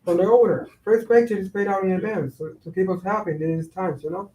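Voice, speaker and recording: Actor as easygoing young male laborer, high soft-toned voice, hesitant, stage reading in echoey room. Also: fairly even intonation, fast, natural voice. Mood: evasive, casual. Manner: hesitant, casual. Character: evasive, easygoing young construction worker, American-born. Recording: roomy, echoing sound.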